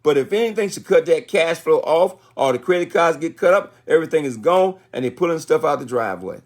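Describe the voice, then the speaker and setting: A man's voice: on the high side, lively intonation, a little fast. An American man in his sixties giving a motivational livestream talk in a folksy, colloquial way, repeating phrases for emphasis. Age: 60s. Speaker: motivational business speaker.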